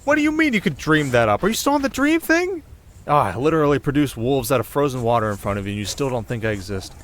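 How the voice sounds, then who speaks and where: A man's voice: mid-range voice, big pitch swings, fast talker, fairly bright tone. A man playing a cocky, playful winter spirit in an ASMR roleplay, speaking straight to the listener in flippant, sarcastic, casual banter. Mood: sarcastic, teasing.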